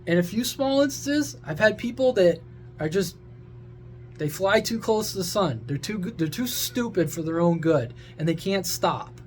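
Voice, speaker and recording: High-pitched blunt man ranting. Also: lively intonation, normal speed. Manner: blunt, sarcastic, crude, exasperated. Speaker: social media creator and CB radio hobbyist. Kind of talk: casual opinionated rant to viewers.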